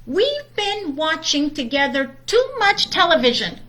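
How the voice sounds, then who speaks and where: A woman's voice: high-pitched, big pitch swings, unhurried, sharp tone, pitched above the performer's own voice, full character voice. A woman voicing a firm, exasperated mother bear from a children's storybook, speaking emphatically. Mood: firm, scolding.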